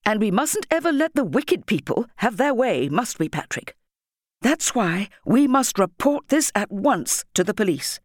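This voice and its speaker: A woman voicing a warm, indignant school headmistress addressing children emphatically in an audiobook story. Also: a little high, very animated, a little slow, no room sound, clean studio sound, voice pushed up. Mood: trembling anger. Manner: emphatic, exclaiming, addressing a crowd.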